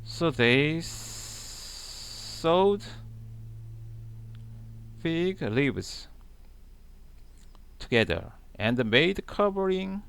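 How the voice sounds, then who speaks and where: Guy reading the bible out loud super slow, short phrases, pitch moves a lot, bit of creak. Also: big pitch swings. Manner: deliberate, halting short phrases. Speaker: channel host reading the Bible aloud. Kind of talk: slow scripture read-aloud.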